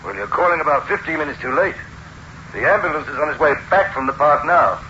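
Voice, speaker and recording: An actor playing a blunt, matter-of-fact police sergeant over a telephone filter in an old-time radio drama. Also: male voice, on the high side, expressive, fast, bright, forceful tone. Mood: grimly blunt. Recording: noisy, muffled old radio recording.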